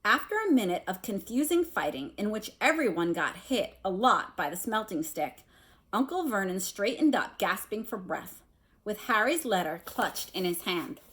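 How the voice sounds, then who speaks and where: Lively woman reading a story aloud. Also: mid-range voice, normal speed. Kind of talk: expressive storybook read-aloud with casual asides.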